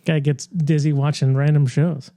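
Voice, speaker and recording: Mellow, slightly high-voiced man bantering. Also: little pitch movement, moderate pace. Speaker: podcast co-host. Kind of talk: casual group banter.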